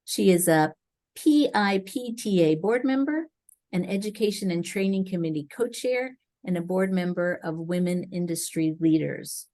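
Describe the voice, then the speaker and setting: A woman moderating a webinar, reading a speaker's biography in a steady, formal way. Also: lowish voice, moderate pace.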